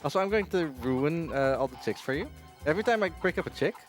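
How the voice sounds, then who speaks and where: A man's voice: fairly high pitch, animated, fast. A man giving casual live commentary on his own speedrun at a gaming marathon. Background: game music.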